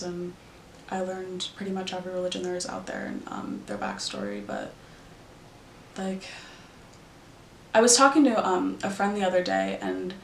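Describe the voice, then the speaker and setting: Gravelly young woman rambling in an echoey room. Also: mid-range voice, a little hiss or hum. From Arizona, US. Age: young adult. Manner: rambling, many fillers. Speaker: interviewee. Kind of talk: casual recollection.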